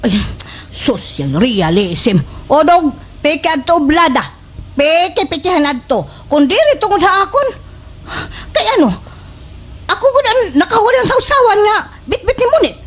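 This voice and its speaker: A woman's voice: extremely high pitch, very animated, unhurried, exaggerated voice. A woman with a Waray Filipino accent acting an exaggerated, indignant, boastful village schemer in a slapstick radio comedy. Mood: indignant, urgent.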